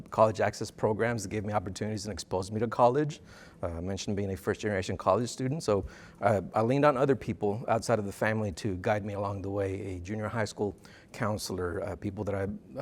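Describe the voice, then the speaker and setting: A middle-aged man from South Texas answering interview questions in a TV studio, thoughtful and full of "uh" and "um" fillers. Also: fairly low pitch. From South Texas border region. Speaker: interview guest, dean of students. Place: TV news studio set. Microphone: lapel mic.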